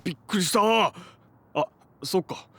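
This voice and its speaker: Brash young guy, higher-pitched. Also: unhurried, pushed and bright, heavily acted. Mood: puzzled, curious. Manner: casual, slangy, offhand. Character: brash, casual, warm-hearted young man. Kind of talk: Japanese audio drama acting with narration.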